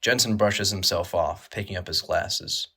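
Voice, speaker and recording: A man narrating a horror audio drama with measured, dramatic, scripted storytelling. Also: low-pitched, bright, forceful tone, slightly rough.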